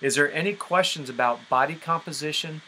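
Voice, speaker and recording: A man's voice: fairly high pitch, fairly quick, sharp tone. A man coaching a group over a conference call, explaining step by step and often checking in with an okay. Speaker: fitness and nutrition coach. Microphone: conference call line. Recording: noisy conference call recording.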